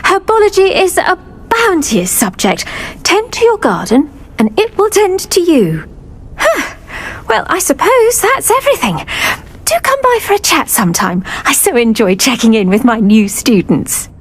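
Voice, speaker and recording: A woman's voice: high-pitched, sing-song, moderate pace. A woman voicing a cheerful, kindly British herbology professor in a video game, effusive and encouraging in her way of talking. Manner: effusive, encouraging, plant puns. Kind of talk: video game character acting.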